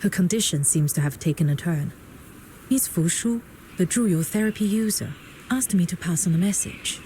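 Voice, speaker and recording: Woman playing a mellow, low, composed proprietress. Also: slight background noise, natural voice, poor audio. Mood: gentle, composed. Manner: formal, measured, reserved. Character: composed, gracious, guarded proprietress, formal and weary. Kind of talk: video game character voice acting.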